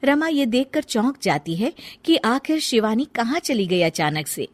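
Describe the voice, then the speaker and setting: A woman's voice: fairly high pitch, sing-song, measured pace, no echo, clean studio sound. A woman narrating a scripted family folk tale as a storyteller.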